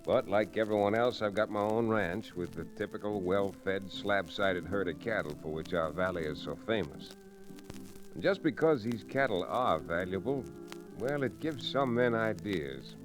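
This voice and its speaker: Man playing a low-voiced frontier lawyer hero. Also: expressive, normal speed, over music, lightly acted, rough recording. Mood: wry storytelling. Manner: folksy, easygoing storytelling. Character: level-headed frontier lawyer and rancher, male hero. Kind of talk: old-time Western radio narration and dialogue.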